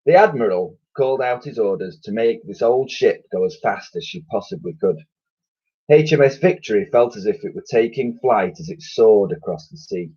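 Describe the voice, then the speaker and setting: Male teacher narrating a storybook; expressive, a little husky. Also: on the low side, moderate pace, lo-fi sound. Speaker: teacher reading aloud. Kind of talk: children's storybook reading.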